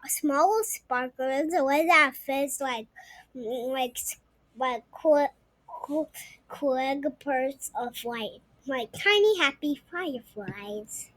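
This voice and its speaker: A young girl reading a storybook aloud, haltingly and often repeating words. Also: sits high, dry recording. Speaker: story reader.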